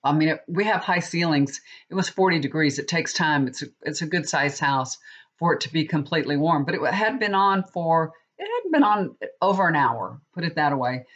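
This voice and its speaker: A woman's voice: deep voice, expressive, moderate pace, fairly bright tone. A middle-aged woman, stuffy and sniffling from a cold, chatting casually and rambling to her viewers. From Texas. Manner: chatty, rambling, with many restarts. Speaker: tarot reader. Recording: dull lo-fi recording.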